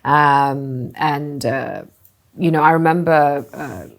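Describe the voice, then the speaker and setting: A middle-aged woman from Singapore, a company founder answering interview questions thoughtfully, often pausing with "you know" and restarting phrases. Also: low-pitched, steady tone, creaky. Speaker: hotel group co-founder and CEO.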